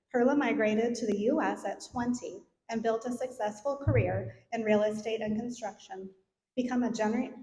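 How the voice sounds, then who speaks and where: A woman's voice: medium pitch, warm tone. A middle-aged woman giving a scripted speech and introduction at an event in a hall. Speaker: event sponsor and speaker introducer. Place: large event hall, on stage. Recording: echoey hall, faint noise.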